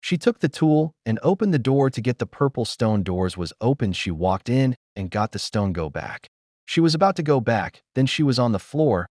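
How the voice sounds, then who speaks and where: A man's voice: medium pitch, big pitch swings, normal speed, darkish tone, no room sound, clean studio sound. A man retelling a story as an amateur reviewer, in short, choppy, loosely worded sentences. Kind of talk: simple spoken retelling of a film's plot.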